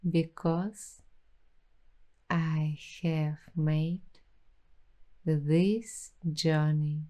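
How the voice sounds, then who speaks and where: A woman speaks in a gentle ASMR roleplay, lingering over each phrase at a very slow pace. Her voice sits low and mellow, soft and reflective, with an affirming calm. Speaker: ASMR host.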